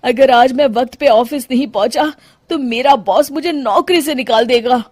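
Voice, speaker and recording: A woman doing a nervous henpecked young guy in a cartoon, kinda high voice, dry close sound, flustered. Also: normal speed, a little mellow, no room sound. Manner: flustered, placating. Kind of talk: Hindi cartoon story voice acting.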